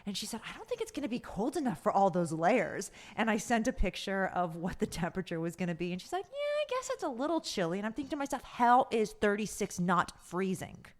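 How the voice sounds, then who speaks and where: A middle-aged woman co-hosting a podcast in casual chat, telling things in an animated, conversational way. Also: medium pitch, fast, hardly any pauses. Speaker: podcast co-host.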